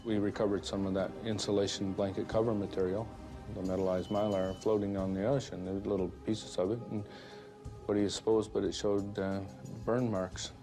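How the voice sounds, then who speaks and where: An older man speaks in an interview, plain-spoken and folksy, with a low voice and a flat, level delivery. Music plays clearly behind his words. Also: a little fast. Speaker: accident investigator. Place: dark studio interview set. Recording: music laid under the interview.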